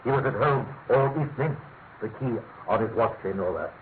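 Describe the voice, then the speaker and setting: A man acts out a fussy, self-important British government grandee in a radio play. He speaks at a middle pitch, halting and then pressing his words with grave emphasis. The sound is thin and tinny, with a clear layer of noise. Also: expressive, natural voice. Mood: official, measured. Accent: British English. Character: pompous, fussy male senior government official, gravely insistent. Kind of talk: classic British radio mystery acting. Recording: tinny, narrow-band radio recording with hiss.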